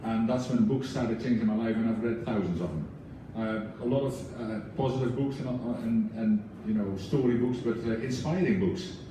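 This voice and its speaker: A man's voice: lowish voice, moderate pace, few pauses, background noise. A man on a book club's leadership team, speaking to an audience with a foreign accent in an earnest, passionate way, with many restarts. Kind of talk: speech to an audience. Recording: echoey room, voice from far off.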